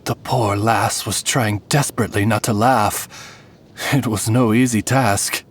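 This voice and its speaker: A man's voice: on the low side, fairly even intonation, measured pace, breathy edge. A man voicing a loyal raven familiar in a video game, formal and deferential with a dryly wry manner. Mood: dryly amused. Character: courtly, dryly witty male raven familiar, loyal servant. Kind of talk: video game character voice lines.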